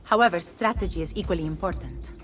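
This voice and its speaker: Low-voiced composed lady played by an actress. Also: expressive, fast, soft background music, natural voice. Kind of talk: dramatic video game acting.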